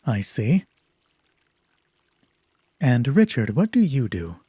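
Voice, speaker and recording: Man acting a calm, husky counselor. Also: medium pitch, no echo, clean studio sound, exaggerated voice. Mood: probing. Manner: measured, probing, placating. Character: calm, polite male marriage counselor doctor.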